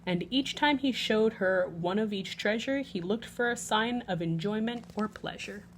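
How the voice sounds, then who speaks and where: A woman reading a rhyming picture book aloud for children as a bedtime story, expressive and measured, stretching the ends of lines. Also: medium pitch, slight background noise.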